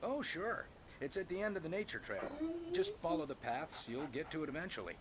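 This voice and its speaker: Video game park ranger, easygoing, polite, folksy, lively pitch swings, noticeable background noise. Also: male voice, fairly quick. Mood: helpful. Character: friendly, folksy park ranger renting out cabins.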